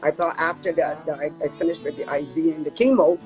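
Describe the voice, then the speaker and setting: A woman's voice: low voice, fairly even intonation, quick pace, over music. A woman with a Barbadian accent, a nonprofit co-founder, sharing a personal testimony in an earnest, reflective way with some hesitant fillers.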